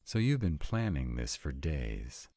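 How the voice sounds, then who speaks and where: A man's voice: a bass voice, very animated, measured pace, mellow, no room sound, clean studio sound, exaggerated voice. A man playing a wry, sarcastic young vampire in a scripted roleplay audio drama. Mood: sarcastic, incredulous.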